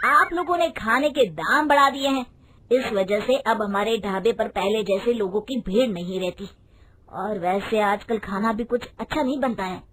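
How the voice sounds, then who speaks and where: A woman's voice: a little high, normal speed, background noise, lightly acted. A woman voicing a small, eager young male helper in a storybook tale, speaking politely and earnestly. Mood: earnest, concerned. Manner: pleading, respectful, earnest. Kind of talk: animated Hindi storybook voice acting.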